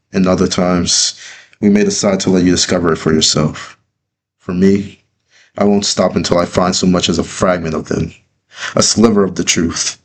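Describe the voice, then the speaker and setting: A man reading his own story as a dramatic first-person narration, earnest, with deliberate pauses. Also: low voice, husky.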